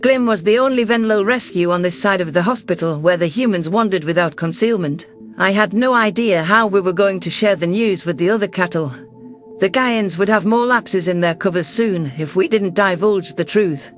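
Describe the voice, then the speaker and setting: A man reading first-person science fiction narration in a steady, measured, scripted audiobook style. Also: high voice, soft background music.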